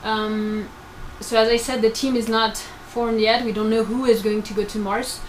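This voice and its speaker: A woman's voice: a little high, flat delivery, moderate pace. Young woman explaining, noisy recording. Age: 20s. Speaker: research crew member answering students' questions. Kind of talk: explanatory answers to camera.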